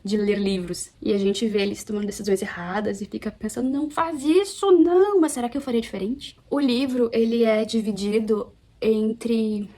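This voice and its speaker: A young woman from Brazil, chatting casually at home as she reviews a book, animated and often correcting herself. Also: on the high side, moderate pace, few pauses. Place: apartment at home.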